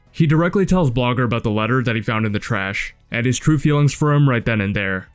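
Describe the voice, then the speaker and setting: A man giving casual scripted commentary in a blunt, sardonic, sweary way. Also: medium pitch. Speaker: video essay host reviewing a webcomic. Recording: music under the voice. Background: background music.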